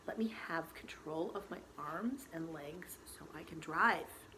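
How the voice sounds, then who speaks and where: A woman's voice: low-pitched, slow, background noise. A woman voicing an insistent, indignant young female jogger in a storybook, pleading urgently. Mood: pleading, calmer.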